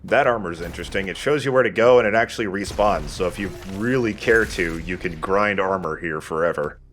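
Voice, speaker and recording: A man's voice: sits low, a little fast, a bit bright, soft background music. A man giving casual commentary on a video game, with a dry, opinionated way of talking. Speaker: let's play host.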